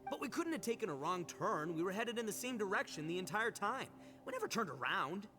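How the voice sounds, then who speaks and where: A brash, swooping young oni gang boss. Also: male voice, high voice, sing-song, brisk. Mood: confused. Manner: boastful, theatrical. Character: brash, good-natured young male oni gang leader. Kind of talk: video game voice acting. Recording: game music behind the voice.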